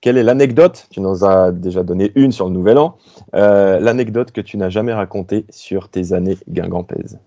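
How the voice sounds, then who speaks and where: Mellow low man hosting a podcast. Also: moderate pace, no echo, muffled recording. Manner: conversational, asks questions. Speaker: host. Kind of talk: podcast interview.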